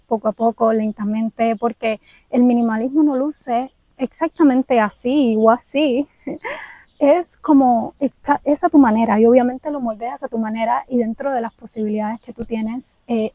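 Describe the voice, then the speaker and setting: Cuban woman vlogger, candid and reflective, slightly raised pitch, dry close sound. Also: no echo, narrow, tinny audio. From Cuba. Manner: candid, reflective, speaks directly to viewers. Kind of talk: personal vlog storytelling.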